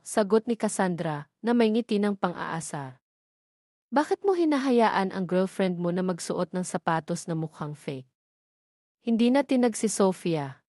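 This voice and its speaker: A woman reading a romance story aloud as narrator, in a steady, even storytelling voice. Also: medium pitch, no room sound, studio quality.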